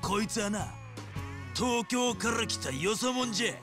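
An actor playing a hot-headed, menacing gangster boss in a video game, gruff and taunting with a Hiroshima Japanese accent. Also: male voice, sits high, lively intonation, normal speed, music in the background. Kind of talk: video game cutscene acting.

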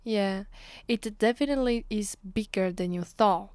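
A woman with an Italian accent playing an imperious, mocking ancient vampire mistress in an ASMR roleplay, speaking deliberately and often dropping into a whisper. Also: mid-range voice, a little mellow, no room sound, natural voice. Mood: condescending. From Italy. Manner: slow, haughty, deliberate with pauses, often breathy whispering. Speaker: ASMR voice actress. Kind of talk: ASMR roleplay, slow intimate delivery. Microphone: close, dry microphone.

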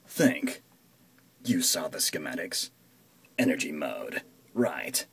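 A man voicing an excitable, panicky young man fumbling inside a war robot in a hammy comic-book read-aloud, melodramatically muttering and exclaiming. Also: extremely low pitch, slow, vocal fry, a little hiss or hum, voice pushed down, heavily acted. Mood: tense muttering.

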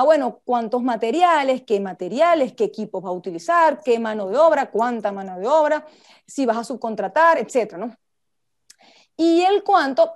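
Venezuelan lady teaching project stuff, high voice, chatty, keeps asking the listeners questions. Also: a little mellow. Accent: Venezuelan Spanish. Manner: conversational, rhetorical questions to listeners. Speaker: project management instructor and speaker. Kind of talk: informal slide lecture.

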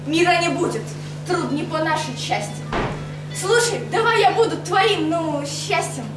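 A woman's voice: very high voice, expressive, a little slow, music in the background. A young woman reading her own poems aloud on a cafe stage, in an intimate, lilting declamation. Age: young adult. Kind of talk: poetry recitation. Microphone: far camera mic, no handheld mic. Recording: echoey club room, far mic, audible noise.

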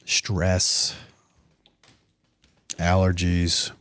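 A man hosting a casual family podcast with his kids, his voice going hoarse as he loses it. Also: sits low, completely flat delivery, very slow, a bit bright, dry recording. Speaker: podcast host and dad.

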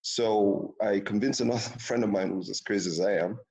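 Low husky gravelly man. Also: brisk, rough recording. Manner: relaxed, rambling with restarts. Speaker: guest, startup founder. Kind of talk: casual interview answers.

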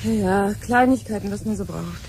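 A woman's voice: medium pitch, moderate pace, a little mellow, a little hiss or hum, lightly acted. An actress playing a plain-spoken, friendly, slightly wry married woman in a 1970s German radio drama. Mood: friendly, chatty. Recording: 1970s radio play with music behind.

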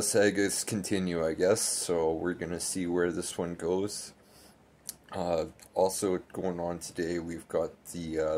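A man's voice: sits low, creaky, darkish tone, slight background noise. A man in his thirties giving casual, critical political commentary to camera from a small bedroom, talking loosely with lots of fillers. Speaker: political vlogger.

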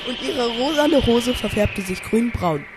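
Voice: medium pitch, lively intonation, unhurried, heavy background noise. A narrator telling a parody audio play in a theatrical storytelling style. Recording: loud music under the voice.

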